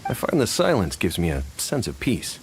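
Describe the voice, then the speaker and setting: An actor playing a kindly, contemplative man who frequents a cemetery in a fantasy video game, speaking in a measured, sympathetic way. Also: low voice, huge pitch swings, a little hiss or hum, exaggerated voice, rough recording. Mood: reflective. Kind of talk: English video game voice acting.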